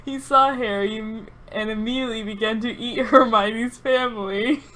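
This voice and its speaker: A woman's voice: on the high side, fairly even intonation, normal speed, bright, forceful tone. Woman doing a dramatic read of silly fanfic, tries to stay serious but keeps cracking up. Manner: mock-serious storytelling, often breaking into giggles. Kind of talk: dramatic reading of absurd fan fiction.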